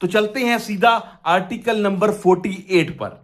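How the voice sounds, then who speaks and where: A man's voice: high voice, normal speed, fairly bright tone. A man with a Pakistani accent giving an explanatory law lecture, methodically reading out clauses, repeating key phrases and answering his own questions. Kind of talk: explanatory lesson, reading statute clauses aloud and explaining them.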